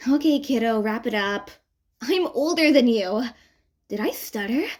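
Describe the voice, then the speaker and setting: A woman's voice: a little high, animated. Woman as sassy, bossy young female roommate. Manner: sassy, brisk, no-nonsense.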